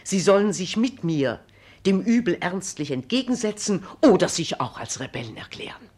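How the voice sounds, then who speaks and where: Imperious, aggrieved regent, formal German drama. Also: female voice, mid-range voice, sing-song, moderate pace, a little mellow. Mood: accusing, resolute. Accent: standard German. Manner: formal, declamatory, emphatic. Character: proud, aggrieved female regent of the Netherlands, imperious. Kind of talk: classic German stage-drama radio acting.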